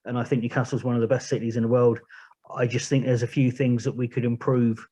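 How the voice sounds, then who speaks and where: A man's voice: medium pitch, level tone, fast, dark tone, husky. Candid man with a southern English accent. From England. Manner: candid, plain-spoken, rambling. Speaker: podcast guest, charity project manager. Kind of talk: casual interview talk. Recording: muffled lo-fi recording.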